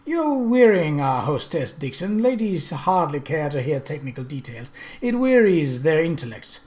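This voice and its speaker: A narrator voicing an excitable, mocking English gentleman motorist with a patronizing manner, in a storybook reading. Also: medium pitch, normal speed, lightly acted. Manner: sneering, patronizing.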